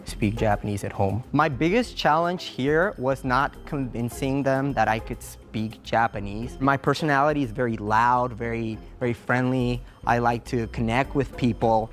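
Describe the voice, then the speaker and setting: A man's voice: medium pitch, a bit bright. Contestant man over faint show music. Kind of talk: confessional interview. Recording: show clip with background music.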